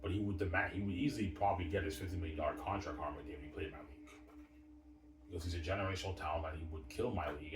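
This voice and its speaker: A man rambling casually, repeating himself in a boastful way, with emphatic bursts that trail off. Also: low voice. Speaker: vlogger. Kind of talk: rambling casual hockey talk. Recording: roomy, distant mic, faint noise.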